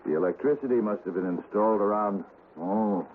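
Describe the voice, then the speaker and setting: Actor as a wry skeptic, tinny old radio drama. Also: male voice, fairly low pitch, a little fast, lightly acted. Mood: wry, amused. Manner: sardonic, breezy, dismissive. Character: wry, skeptical male partner of a ghost hunter. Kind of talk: 1970s radio drama acting. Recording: noisy, thin, phone-like old radio recording.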